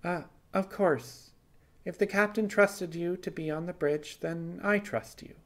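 Man doing an eager, high-pitched animal-eared crewman. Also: fairly even intonation, a little slow, a bit bright. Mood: flustered, sincere. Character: bright, friendly male animal-eared spaceship crewman.

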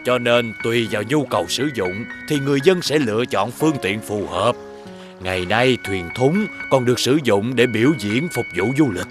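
A man's voice: mid-range voice, expressive, normal speed, over music, lightly acted. A man voicing a kindly, patient old village boatman in a cartoon, explaining in a southern Vietnamese accent. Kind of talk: animated cartoon dubbing.

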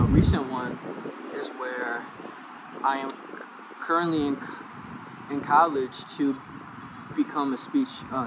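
A young man who stutters, with blocks and repeated sounds, talking to camera in a slow, hesitant way under a park pavilion, holding a small mic close. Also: mid-range voice. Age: young adult. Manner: slow, hesitant, many fillers. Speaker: vlogger. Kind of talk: personal talk to camera. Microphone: small handheld mic close to mouth. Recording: outdoor traffic noise.

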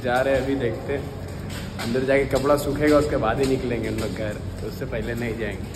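Young man vlogging over loud background noise. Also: mid-range voice, steady tone, fast talker. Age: young adult. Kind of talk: casual vlog chat. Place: out on the road and inside large shops. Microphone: phone at arm's length. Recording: loud street and store ambience.

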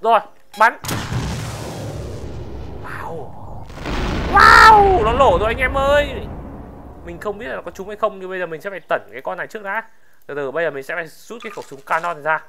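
An excitable gaming video host giving exclamation-filled gameplay commentary. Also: medium pitch, background noise. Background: music.

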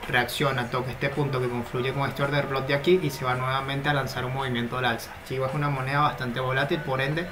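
Man walking through crypto charts. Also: mid-range voice. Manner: steady, explanatory, talks through charts. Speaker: crypto trading analyst. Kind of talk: screen-share market analysis. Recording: noticeable background noise.

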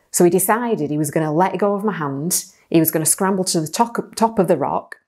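Low-voiced woman telling a story. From England. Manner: lively personal storytelling to camera. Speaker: church speaker. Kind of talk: sermon storytelling. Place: small plain studio, indoors.

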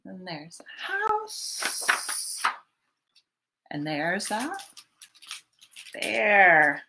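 A woman in her thirties hosting storytime, reading a picture book to young children in an expressive, teacherly way. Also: medium pitch, heavy background noise. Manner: expressive, deliberate teacherly delivery. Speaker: children's library storytime host. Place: small home room, indoors.

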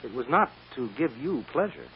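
Radio drama defense attorney: firm, blunt, deliberate, medium pitch with lively swings, muffled vintage sound. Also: male voice. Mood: grave. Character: firm, shrewd male defense lawyer. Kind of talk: old-time radio drama acting. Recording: muffled, hissy old radio recording.

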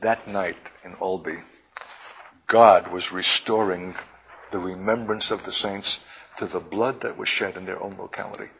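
A man preaching and teaching in a deliberate, emphatic manner with lofty wording, halting now and then to repeat himself. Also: low-pitched, steady tone, gravelly, slight background noise. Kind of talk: impassioned prophetic sermon, teaching. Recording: dull, lo-fi sermon recording.

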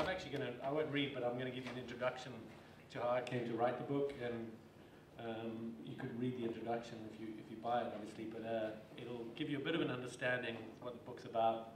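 Panel author, man from Zimbabwe in his forties, halting delivery, roomy distant sound. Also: medium pitch, background noise. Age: 40s. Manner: hesitant, many fillers and restarts. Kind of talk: casual talk on a panel. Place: stage in a large dark hall. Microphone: handheld microphone. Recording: roomy hall sound, mic a bit off.

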